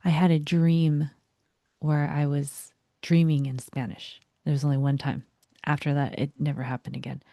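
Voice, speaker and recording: A young woman whose first language is Vietnamese, giving a reflective guided talk in a soft voice that often slips into a breathy whisper. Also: sits low, flat delivery, normal speed, no room sound, studio quality. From United States. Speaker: vlogger.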